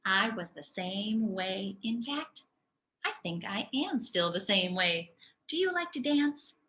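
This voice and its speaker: Woman voicing a kindly, unhurried older dance teacher. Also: mid-range voice, animated, bright, forceful tone. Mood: warm, reflective.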